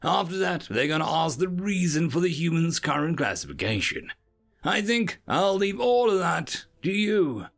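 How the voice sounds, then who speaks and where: Man voicing a self-assured alien official, pitched high. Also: big pitch swings, moderate pace, vocal fry, a bit bright, faint music behind, heavily acted. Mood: airy, assured. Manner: firm, dismissive. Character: self-assured alien senior observer, airy and curt. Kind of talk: science fiction audio story.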